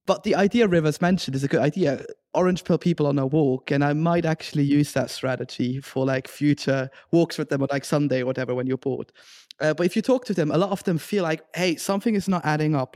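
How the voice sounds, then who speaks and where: Higher-voiced man, informal podcast chat. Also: a little fast, darkish tone, no room sound, studio quality. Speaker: podcast co-host. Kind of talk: casual chat.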